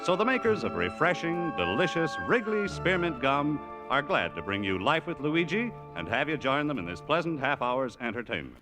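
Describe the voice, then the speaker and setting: A man's voice: on the high side, sing-song, normal speed, hardly any pauses, music in the background. A man announcing a 1950s radio show and its sponsor, with polished, enthusiastic salesmanship.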